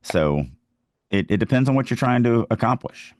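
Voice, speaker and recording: A man's voice: medium pitch, expressive, normal speed, a little mellow, no echo. A man co-hosting a podcast, casually discussing the craft of writing. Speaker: podcast co-host and writer.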